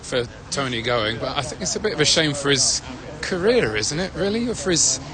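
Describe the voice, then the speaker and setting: A man's voice: a little high, big pitch swings, a little fast. A man, a football fan, chatting in a friendly, rambling way about the match outside the stadium. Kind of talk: casual post-match chat. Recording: crowd noise outdoors. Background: crowd of fans.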